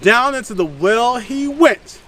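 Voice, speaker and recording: A man's voice: very high-pitched, animated, a little slow. A man telling a children's story in a dramatic, sing-song way, repeating words for effect. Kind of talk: animated story narration. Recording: off-mic, loud music underneath.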